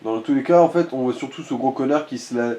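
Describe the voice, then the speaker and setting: A man with a mellow, mid-pitched voice talks a fellow player through a game. He is casual and crude-mouthed, and the recording has a clearly roomy echo. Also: fast talker. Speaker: co-player giving game advice. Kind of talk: casual gaming chat.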